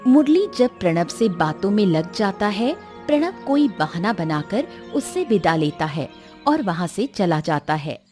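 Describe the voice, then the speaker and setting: A woman's voice: medium pitch, very animated, unhurried, darkish tone. A woman narrator reading a scripted story in a storytelling style. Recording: music under the narration.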